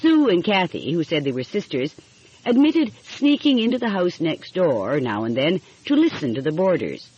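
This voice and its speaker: Woman, storybook narrator, husky, expressive pitch range, slightly dull recording. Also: moderate pace. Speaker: audiobook narrator. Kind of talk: scripted storybook narration with character dialogue.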